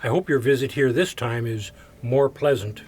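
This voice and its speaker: An actor playing an affable, wry community radio host in an audio theater mystery. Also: male voice, mid-range voice, normal speed, darkish tone, faint music behind, close to an everyday voice. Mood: welcoming.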